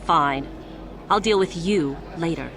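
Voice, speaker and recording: A woman's voice: fairly low pitch, slow pace. A woman playing a smug, menacing Sith gang leader in a video game, taunting and condescending. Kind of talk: video game villain acting.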